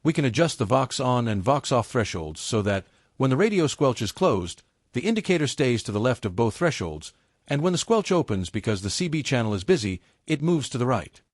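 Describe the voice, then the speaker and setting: A man reading a scripted technical tutorial step by step in a measured, methodical way. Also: medium pitch, very animated, dry recording, studio quality. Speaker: tutorial presenter.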